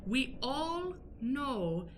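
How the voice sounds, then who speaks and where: A woman's voice: high voice, sing-song, drawn-out words, a little hiss or hum, heavily acted. A woman playing a stern, pious busybody in an improvised radio play, theatrical, declamatory and wry. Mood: scheming, wry.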